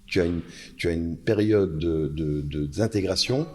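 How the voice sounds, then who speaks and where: A man's voice: deep voice, unhurried, warm tone, soft background music. A man, a brand founder, speaking as a guest on a panel and often repeating words while he searches for them. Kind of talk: panel conversation. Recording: live event with a panel.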